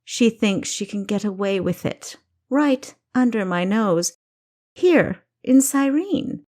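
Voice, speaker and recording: A woman voicing a wicked, commanding king in an audiobook, mocking and deliberate in the way he speaks. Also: medium pitch, animated, dark tone, voice pushed up. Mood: imperious. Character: wicked, commanding king, male, menacing and teasing. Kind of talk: audiobook character dialogue.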